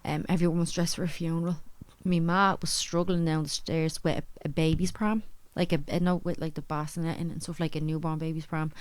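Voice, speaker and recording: A woman's voice: low voice, level tone, fairly quick, few pauses, smooth, no echo. An Irish woman with a Dublin accent, casually telling personal stories in a rambling, colloquial way. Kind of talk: casual chat, anecdotes.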